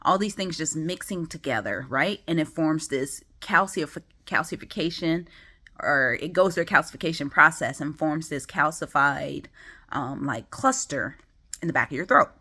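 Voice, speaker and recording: A woman's voice: deep voice, lively intonation, moderate pace, pushed and bright, husky. A woman with a congested, sniffly voice talking casually to camera at home, rambling with many ums. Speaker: vlogger. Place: home, just woke up.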